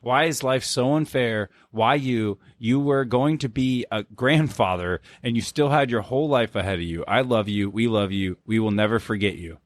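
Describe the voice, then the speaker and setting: A man's voice: mid-range voice, normal speed, hardly any pauses, dry recording, studio quality. A man co-hosting a podcast in casual banter, laid-back and profane, with lots of filler words. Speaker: podcast co-host.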